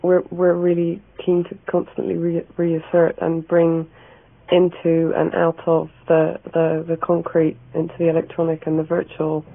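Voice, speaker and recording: Dutch-born female editor, flat gravelly delivery, lo-fi phone-like broadcast. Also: fairly low pitch, moderate pace. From Netherlands. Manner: thoughtful, repeats words while searching. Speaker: magazine editor. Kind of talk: interview answers. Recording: tinny phone-like radio sound.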